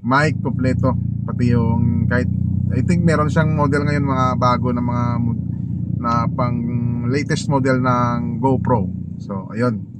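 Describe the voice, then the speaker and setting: A man with a Filipino accent chatting casually as a motovlogger unboxing gear, informal and halting as he searches for words. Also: medium pitch, fairly even intonation, loud music behind the voice. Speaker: motovlogger host. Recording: clearly noisy, vehicle and ambient noise.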